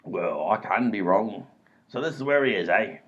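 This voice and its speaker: A man's voice: lowish voice, lively intonation, moderate pace, heavy background noise, natural voice. A man voicing a dim, self-assured robber in a comedy radio play. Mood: blustering. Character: bumbling male robber, blustering, dim. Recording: dull lo-fi audio.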